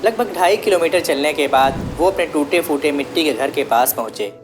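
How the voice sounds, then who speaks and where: धीमे, नीचे सुर वाली महिला कथावाचक. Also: female voice, deep voice, normal speed, over music. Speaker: storyteller. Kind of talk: scripted children's story narration.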